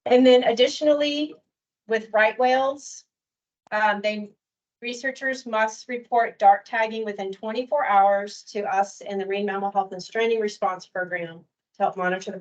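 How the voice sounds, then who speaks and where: A woman delivers a prepared workshop presentation in a measured, detail-heavy way, pausing now and then to correct herself. Her voice sits at a middling pitch, and the recording is a little dull and lo-fi. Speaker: permitting official presenting at a workshop.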